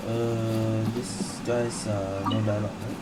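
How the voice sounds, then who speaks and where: A woman's voice: very deep voice, little pitch movement, takes it slowly, heavy background noise. A woman gaming streamer giving casual let's play commentary, muttering and thinking aloud in short phrases. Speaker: gaming streamer playing through a game. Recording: loud game music behind.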